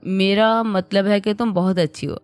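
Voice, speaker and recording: A woman's voice: mid-range voice, fairly even intonation, normal speed, clean tone, no echo, soft background music, lightly acted. Woman reading as a teasing young husband. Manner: bantering, teasing.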